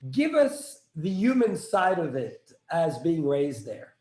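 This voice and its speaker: A man hosting an interview, talking quickly and effusively as he poses questions. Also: fairly high pitch, very animated, darkish tone. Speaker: host. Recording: echoey room.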